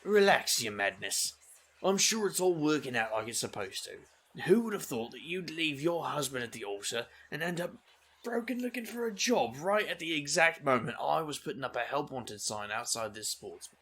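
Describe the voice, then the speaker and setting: A man's voice: on the high side, animated, normal speed, a bit bright, husky, full character voice. A man playing a roguish, easygoing bartender who banters wryly in a comic audio drama. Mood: wry teasing. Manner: wry, bantering.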